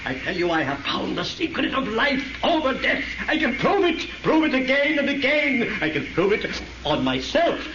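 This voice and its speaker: An actor playing an obsessive, boastful mad doctor with melodramatic, ranting delivery in a 1930s radio drama. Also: male voice, very high voice, wildly swooping pitch, normal speed, hardly any pauses, exaggerated voice. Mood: manic, boastful. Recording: muffled, noisy, echoey 1930s radio recording.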